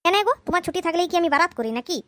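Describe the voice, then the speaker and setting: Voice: very high voice, lively intonation, fairly bright tone, no echo, studio quality. A voice actor doing a greedy chatty village wife. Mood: defensive. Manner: nagging, scheming, talks fast.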